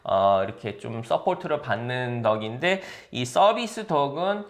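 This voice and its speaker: A man's voice: medium pitch, animated, measured pace. A man from Seoul, a real estate agent, explaining housing rules in casual chat and stumbling over English legal terms. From Seoul, South Korea. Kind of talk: conversational explainer talk.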